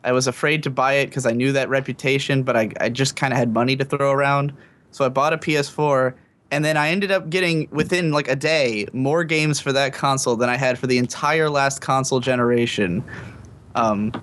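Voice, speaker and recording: A man with a medium-pitched voice in a casual podcast conversation. He rambles through his thoughts as a guest and chuckles as he talks. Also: steady tone, a little fast, fairly bright tone. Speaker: podcast guest, video maker.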